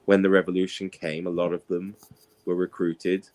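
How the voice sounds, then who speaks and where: Deep-voiced man chatting and telling anecdotes. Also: little pitch movement, moderate pace, darkish tone. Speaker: participant in an online book discussion. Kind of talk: casual chat, anecdotal storytelling.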